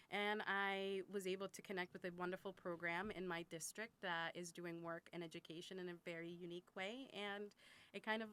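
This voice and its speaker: Woman in her 30s, lowish clear voice, thoughtful podcast guest talking about her life. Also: pushed and bright, no echo. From born in Russia, raised in Thailand and the US. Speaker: podcast guest, school worker and advocate. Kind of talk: reflective personal interview talk.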